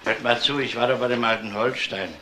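Blunt crime-drama detective, played by a man: bright, forceful lowish voice, probing, some background noise. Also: fairly even intonation, fairly quick, close to an everyday voice, poor audio. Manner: probing, matter-of-fact. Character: male police detective, probing and blunt interrogator. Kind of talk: German TV-crime style radio drama acting.

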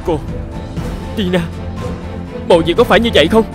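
A male actor plays a wounded cartoon teenager. The voice is high, halting and earnest, with light music underneath. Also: natural voice. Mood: shocked. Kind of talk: dubbed cartoon melodrama.

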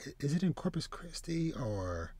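A man in his thirties hosting a casual podcast, speaking haltingly and often repeating words. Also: fairly high pitch, big pitch swings, dark tone, no room sound, studio quality. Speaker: podcast host. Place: small home room with shelves, video call. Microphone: close desk mic.

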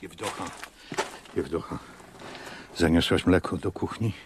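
Very low old man's voice, weary grave innkeeper, radio play, faint noise and ambience. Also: a little slow. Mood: quiet, grave. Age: older. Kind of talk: radio drama acting.

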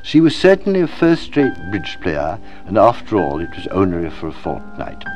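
A man reading literary fiction aloud as scripted narration, in a measured, dramatic storytelling manner. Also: deep voice, wildly swooping pitch, a little mellow, dull audio, soft background music. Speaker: audiobook reader.

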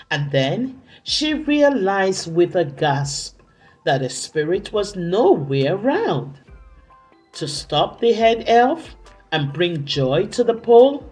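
A woman reading a story aloud for children, deliberately, with dramatic emphasis and drawn-out words. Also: medium pitch, a little mellow. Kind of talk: expressive read-aloud narration for children.

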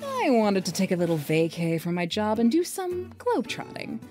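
A woman's voice: medium pitch, huge pitch swings, normal speed, darkish tone, faint music behind. A woman voicing a vain, flirtatious scaled sea woman who talks in a smug, teasing way. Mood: breezy. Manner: smug, teasing, self-admiring. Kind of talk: fan audio drama acting.

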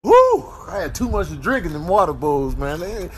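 A man's voice: a little high, huge pitch swings, moderate pace, crowd noise. A man voicing a brash, indignant dog in a comedic animal voiceover, ranting in a streetwise manner. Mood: cocky taunting. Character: brash, confrontational male dog, indignant and cocky.

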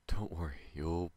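A man voicing a tender, teasing alien in an intimate ASMR roleplay, breathy and husky, often dropping to a whisper, with hesitant pauses. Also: sits low, dark tone, full character voice. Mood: tender, soft. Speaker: voice actor in a roleplay.

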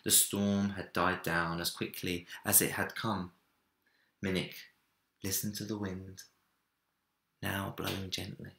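A young man narrating a children's storybook with dramatic, deliberate phrasing in a room indoors. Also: sits low, level tone, vocal fry, bright, forceful tone. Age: young adult. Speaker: story reader. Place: small home room, indoors. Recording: roomy echo.

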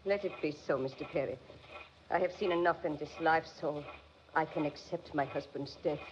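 An actress playing a grave, world-weary widow, formal and halting, in a 1940s radio drama. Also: female voice, low-pitched, lightly acted. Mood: resigned. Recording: dull, band-limited old radio recording.

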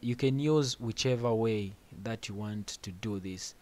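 A man hosting a music-production tutorial, explaining software steps plainly over a screen recording with casual asides. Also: lowish voice, unhurried.

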